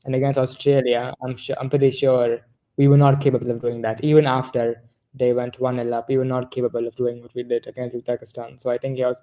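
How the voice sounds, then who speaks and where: Flat-toned man rambling about football. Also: medium pitch, fast, rough recording. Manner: rambling, self-correcting mid-sentence. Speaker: football podcast co-host. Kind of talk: casual football discussion.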